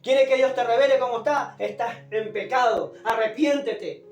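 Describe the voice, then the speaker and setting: Preacher, sore strained throat, forceful exhorting delivery in a roomy space, unamplified and distant. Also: mid-range voice, normal speed. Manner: emphatic, exhorting, repeats phrases and addresses listeners as brother. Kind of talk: fervent evangelical sermon. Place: echoing room, preaching unamplified. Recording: roomy, distant pickup without a microphone, music behind.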